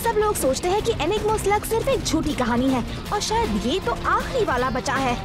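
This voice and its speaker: A spirited teenage girl adventurer, very high-pitched and animated. She sounds confident and keen, a cartoon heroine with music behind her. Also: moderate pace. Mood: earnest, eager. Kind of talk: Hindi cartoon dubbing.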